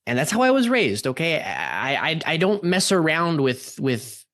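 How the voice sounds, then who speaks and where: A man's voice: on the high side, sing-song, normal speed, fairly bright tone, dry recording, clean studio sound, pitched above the performer's own voice. A man playing an anxious, evasive young man in an amateur radio play, hesitant and defensive, often repeating himself. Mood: flustered, defensive.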